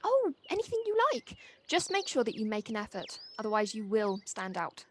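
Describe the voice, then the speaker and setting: A woman's voice: medium pitch, big pitch swings, normal speed, close to an everyday voice. A woman playing an insistent, matter-of-fact village girl in an audio play. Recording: amateur home recording with background noise.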